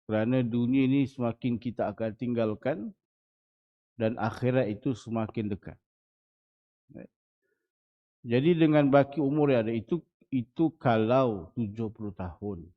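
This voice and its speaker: A man's voice: mid-range voice, moderate pace, long pauses. A man in his forties with a Malaysian Malay accent, a religious teacher giving a dawn lecture in a small prayer hall, often putting rhetorical questions to the audience. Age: 40s. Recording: muffled lo-fi recording.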